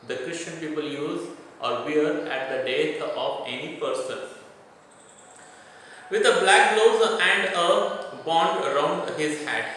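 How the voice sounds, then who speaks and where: A man's voice: on the high side, bright, forceful tone. A man with an Indian accent teaching English literature as a lecturer, explaining a text and its word meanings in short, repeated, didactic phrases. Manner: didactic, repetitive, pausing between short phrases. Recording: very echoey hall, distant mic, faint noise.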